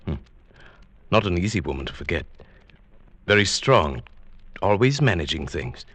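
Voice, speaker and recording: An actor playing a henpecked, mild older professor in an old-time radio drama, with a hesitant, formal, polite manner. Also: male voice, sits low, very animated, moderate pace, a bit bright, dry recording, heavily acted. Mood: musing, dry.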